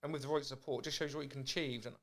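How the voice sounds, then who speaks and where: A man's voice: mid-range voice, fairly even intonation, racing, fairly bright tone. A man hosting a podcast, talking casually, reflective and encouraging. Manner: encouraging, reflective, frequent "yeah" backchannels. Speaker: podcast host. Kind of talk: casual conversation.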